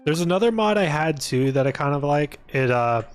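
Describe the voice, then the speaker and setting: A man's voice: on the high side, lively intonation, brisk, clean tone, faint music behind. A man giving casual, rambling gaming stream commentary, thinking aloud and joking as he plays. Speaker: video game livestreamer.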